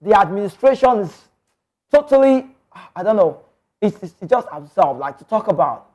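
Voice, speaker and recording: A man's voice: high-pitched, sing-song, moderate pace, highs cut off. A Nigerian man hosting a sports talk show, giving passionate, opinionated football commentary full of emphatic rhetorical questions.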